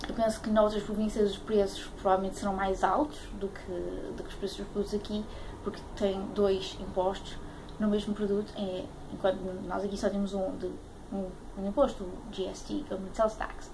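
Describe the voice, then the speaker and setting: A woman's voice: medium pitch, little pitch movement, normal speed. A woman in her late twenties with a European Portuguese accent, talking casually to camera as a vlogger, hesitant and searching for words. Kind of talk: casual talk to camera, airing a pet peeve. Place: small room at home, indoors. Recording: clearly noisy home webcam audio.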